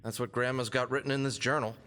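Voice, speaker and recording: A man's voice: mid-range voice, steady tone, fast, fairly bright tone, close to an everyday voice. A man playing an earnest, casual adventurer briefing a friend in an audio drama, with dry asides. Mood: casual, sheepish.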